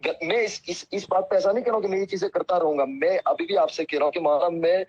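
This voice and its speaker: A man's voice: high-pitched, quick pace, darkish tone, no echo. A man on a phone call, speaking humbly and earnestly, apologizing and asking at length for patience. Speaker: cleric answering a phone call. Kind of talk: phone conversation. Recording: muffled lo-fi phone call recording.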